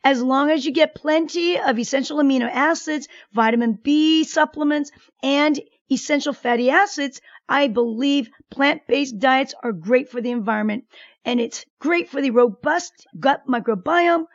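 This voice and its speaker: High-voiced woman hosting a radio show. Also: normal speed, dry recording, lo-fi sound. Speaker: radio show host, doctor. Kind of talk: radio interview.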